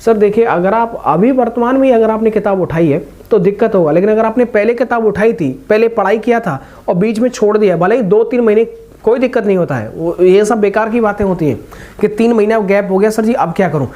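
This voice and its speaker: A man's voice: high voice, a little fast, mellow. A young man speaking informally and reassuringly, as the host of a video giving motivational advice to exam candidates. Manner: informal, reassuring, addressing listeners as brothers.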